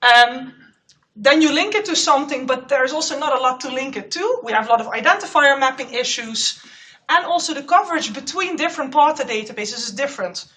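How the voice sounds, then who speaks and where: A woman postdoc researcher giving a chatty conference talk in a lecture room, with a loud voice and a clip-on microphone. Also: high-pitched, expressive, a little fast, far from the mic. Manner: loud, chatty, self-aware.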